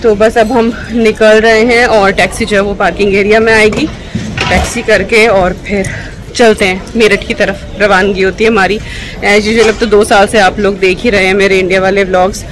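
A woman's voice: medium pitch, level tone, moderate pace, over music, low-quality recording. A middle-aged woman from India chatting casually in a travel vlog, mixing English words into Hindi, tired with a headache. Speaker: vlogger. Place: airport and then at home.

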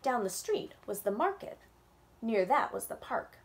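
Animated young woman reading a children's story. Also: a little high. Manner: animated and expressive, drawn-out storytelling. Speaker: children's librarian. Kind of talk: story time read-aloud. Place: home room with bookshelves. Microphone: webcam on a video call.

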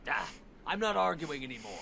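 An actor playing a surly, grasping merchant in a video game, gruff and defensive as he haggles. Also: fairly high pitch, big pitch swings, fairly quick, crowd noise. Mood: exasperated. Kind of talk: video game character acting.

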